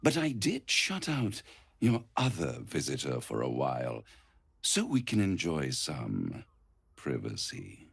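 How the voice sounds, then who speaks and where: A man voicing a suave, ancient, scheming devil in a video game, silky and grandiose, savouring words with dramatic pauses. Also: rumbling low, huge pitch swings, creaky, breathy edge, dry recording, clean studio sound, exaggerated voice. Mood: silky, confiding. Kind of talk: theatrical video game villain monologue.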